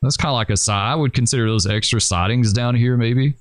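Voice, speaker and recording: A man hosting a gaming channel, thinking aloud and rambling with hesitations as he plans moves in a train simulator game, his voice going hoarse. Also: mid-range voice, brisk, no echo, clean studio sound. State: losing his voice. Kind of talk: casual gameplay commentary.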